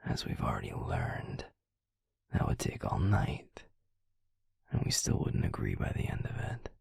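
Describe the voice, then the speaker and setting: A gruff, blunt werewolf boyfriend acted by a man murmurs in an extremely low, fry-laden voice, close and dry on the mic. He is deadpan and teasing with a secretly affectionate streak, as in an intimate audio roleplay. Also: a little slow, no room sound, whispered, full character voice. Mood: exasperated. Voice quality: breathy, gravelly low murmur.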